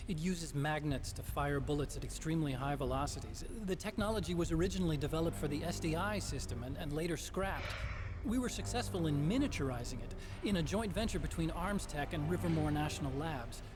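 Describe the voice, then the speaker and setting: A man's voice: a little high, lightly acted. A man as an anxious, guilt-stricken young engineer, music behind. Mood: earnest explaining. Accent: American. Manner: earnest, anxious, halting. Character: earnest, guilt-ridden male weapons engineer, nervous and idealistic. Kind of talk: dramatic video game voice acting.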